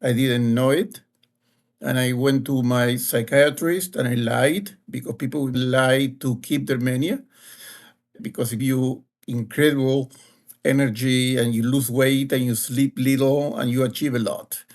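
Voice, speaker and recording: Slow-speaking man with an Argentine accent. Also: mid-range voice, steady tone. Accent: Argentine Spanish. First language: Spanish. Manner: slow, measured storytelling with pauses. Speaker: guest, leadership advisor. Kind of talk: personal story in an interview.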